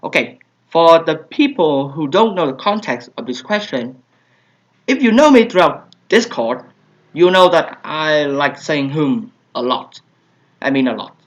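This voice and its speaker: A man's voice: fairly high pitch, very animated, a little slow. Young Vietnamese-accented guy, lo-fi sound. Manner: hesitant, many fillers and soft trailing asides. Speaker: YouTuber answering viewer questions. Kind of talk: casual Q&A vlog. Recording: dull lo-fi home recording.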